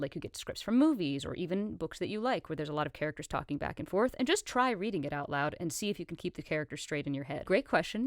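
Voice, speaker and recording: A woman's voice: on the low side, creaky, no echo, clean studio sound. A woman voice actor casually explaining and answering questions, emphatic and thinking aloud as she goes.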